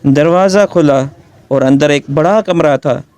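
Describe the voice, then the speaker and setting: Man, slightly high voice, children's story narrator, scripted storytelling. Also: measured pace, darkish tone, clear, resonant tone, no room sound.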